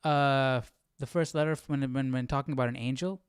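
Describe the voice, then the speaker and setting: Clear-voiced man thinking aloud, dry close sound. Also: medium pitch, steady tone, brisk, no echo. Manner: informal, questioning, muttering to himself. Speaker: livestream Bible study host. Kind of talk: casual chat, thinking aloud.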